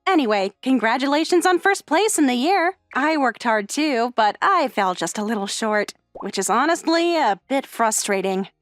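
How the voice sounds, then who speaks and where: A woman playing a poised, sharp-tongued rich high school girl in an anime-style manga dub, articulate and expressive. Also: moderate pace, hardly any pauses, no echo, studio quality, soft background music, close to an everyday voice. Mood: polite, earnest.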